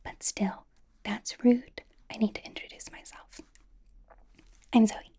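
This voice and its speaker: A woman playing a bubbly, nervous, supportive young pop idol in a breathy, whispered ASMR roleplay, rambling and reassuring as she goes. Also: fairly high pitch, flat delivery, slow pace, dark tone, full character voice. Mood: sheepish, reassuring. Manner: rambling, giggly, reassuring, hesitant.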